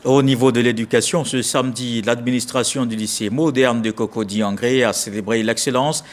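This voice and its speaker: A man's voice: mid-range voice, fairly even intonation, fairly quick. A man presenting scripted television news as an anchor, introducing reports.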